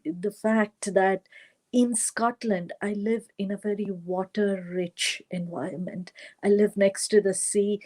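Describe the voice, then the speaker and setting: A woman from western India with an Indian accent, a storyteller introducing a tale online in measured phrases with long pauses. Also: mid-range voice, warm tone.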